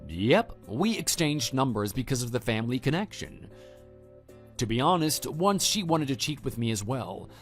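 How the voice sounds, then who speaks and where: Male actor as stern former teacher, audio drama, matter-of-fact, mid-pitched, expressive intonation, background music. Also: normal speed, lightly acted. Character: stern, principled male former homeroom teacher, calm and reproving. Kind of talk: scripted audio drama dialogue and narration.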